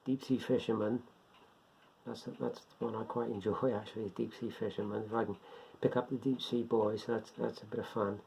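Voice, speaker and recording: A man giving an informal, unscripted beginners' explainer in a rambling, step-by-step way, repeating himself, with a gravelly, husky voice. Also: fairly low pitch, level tone, a little fast, darkish tone, a little hiss or hum. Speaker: hobbyist presenter of a beginners' guide. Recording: dull lo-fi home recording.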